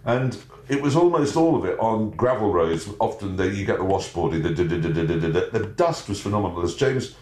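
Man, fifties, low voice, very lively intonation, roomy office sound. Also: a little fast. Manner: emphatic, wry storytelling. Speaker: presenter. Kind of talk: casual chat. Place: indoors, small cluttered office. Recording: roomy with faint hiss.

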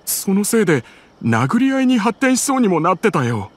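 A shaken young robbery victim. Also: male voice, sits high, sing-song, normal speed, a little hiss or hum. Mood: anxious. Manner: anxious, shaken storytelling. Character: frightened young male robbery victim, nervous and weary. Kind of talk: Japanese game voice acting.

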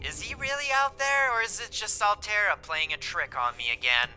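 A man voicing his character in a survival game roleplay, excitably thinking aloud to himself. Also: sits high, normal speed, clean tone, faint music behind.

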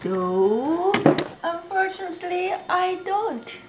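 Woman, casual short replies; very high, lilting voice, distant in a noisy home video. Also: big pitch swings, slow pace.

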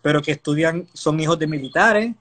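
Middle-aged Puerto Rican man, higher-pitched voice. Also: brisk, fairly bright tone. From Puerto Rico. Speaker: host, interviewer. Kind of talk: casual live interview chat. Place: indoor living room. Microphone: phone at arm's length, video call. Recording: noisy phone video call, clicks.

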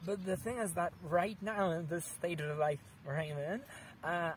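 A man in his thirties from Poland with a Polish accent, answering interview questions in a park with lively gestures and many restarts. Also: high voice, moderate pace, a little hiss or hum, poor audio. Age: 30s. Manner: animated, gesturing, frequent restarts. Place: outdoors in a sunny park.

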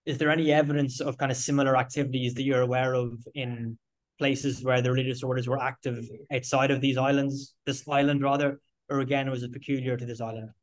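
Man in his 30s, webinar moderator, even steady delivery, stumbles and repeats words. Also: medium pitch, a little fast. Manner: warm, admiring, repeats words. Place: indoor room with arched window. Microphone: laptop webcam on a video call.

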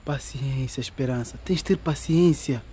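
An actor playing a young man on a stakeout who urges calm and patience. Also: mid-range voice, moderate pace, a little hiss or hum, close to an everyday voice. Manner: soothing, urging patience.

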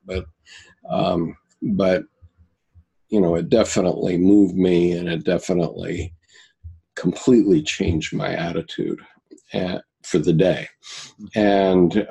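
A man in his seventies, a guest recounting personal experiences in a halting way, with many pauses and restarts. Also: deep voice, creaky, a little mellow, slightly rough. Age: 70s.

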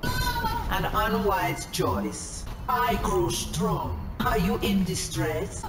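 Slow, resolute female guardian robot. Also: mid-range voice, wildly swooping pitch, roomy sound, very noisy, exaggerated voice. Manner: formal, resolute. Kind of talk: video game hero voice lines.

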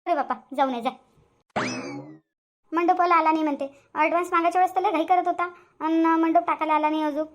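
A woman's voice: very high voice, fairly even intonation, quick pace, pauses often. A woman voicing a proud, grumbling grandmother in a cartoon family drama, speaking with a Vidarbha Marathi accent. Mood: bossy. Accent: Vidarbha Marathi (Varhadi). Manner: nagging, complaining, muttering asides. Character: proud, grumbling, boastful grandmother and mother-in-law.

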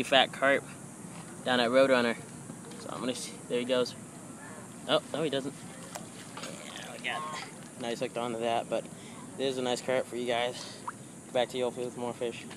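A young man narrating a casual fishing vlog outdoors, chatting to viewers as guys. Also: mid-range voice. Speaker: fishing vlogger. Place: outdoors by a city park pond. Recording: outdoor phone recording with noisy background.

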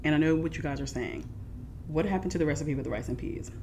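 A middle-aged woman hosting a casual mukbang vlog at home, lively and chatty, sometimes dropping to soft whispers. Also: low voice, quick pace, slight background noise. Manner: animated, shifts to soft whispers while eating. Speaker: food vlogger. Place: living room at home, indoors.

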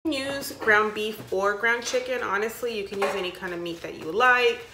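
A woman's voice: mid-range voice, normal speed, noisy background. A woman in her thirties hosting a casual cook-along vlog, talking informally and giving instructions in her home kitchen. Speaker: host of a home cooking vlog. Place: home kitchen and living room, indoors.

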